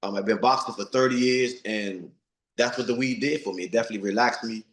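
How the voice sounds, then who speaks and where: A man's voice: mid-range voice, quick pace, fairly bright tone, echoey room, a little hiss or hum. A man of forty, a retired boxer, giving blunt, streetwise interview answers and repeating himself for emphasis. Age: 40s.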